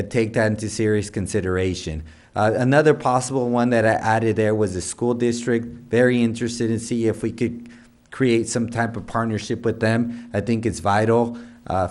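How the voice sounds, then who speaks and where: A man's voice: medium pitch, darkish tone. A man chairing a city council meeting, talking his way through a long list with many restarts and asides. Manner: rambling, many restarts, lists items. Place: council chamber.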